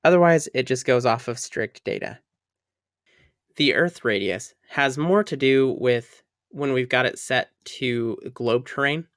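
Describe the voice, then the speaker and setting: Dry, close-miked man explaining software. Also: medium pitch, lively intonation, a little slow, dry recording. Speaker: software tutorial instructor. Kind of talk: screen-recorded tutorial narration. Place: voice-over for a screen recording.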